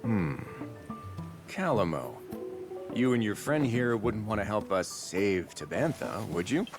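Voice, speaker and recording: A man's voice: fairly low pitch, very animated, measured pace, loud music behind the voice. An actor playing a steadfast, teasing male bird-folk warrior in a video game, with a wry, assured manner.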